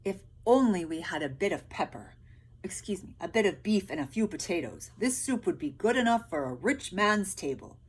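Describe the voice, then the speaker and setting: Woman reading as a husky, crafty coaxing soldier. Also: mid-range voice, expressive. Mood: wistful, coaxing. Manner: drawn-out, emphatic, coaxing. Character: crafty male soldiers coaxing villagers, wistful and grand.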